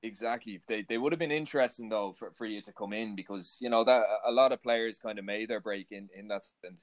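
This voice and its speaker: Male interviewer, lowish voice, chatty and rambling, lots of fillers. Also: a little fast. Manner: long rambling questions with many fillers. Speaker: podcast host, interviewer. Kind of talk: conversational interview questions.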